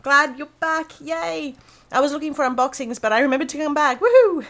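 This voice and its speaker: A woman hosting a casual craft livestream from a room at home, chatty and bubbly, greeting viewers by name, her voice a little hoarse from illness. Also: sits high, expressive, fairly quick, fairly bright tone. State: slightly hoarse, losing her voice after being sick. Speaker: livestream host of a planner craft show. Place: room at home with a door open to rain.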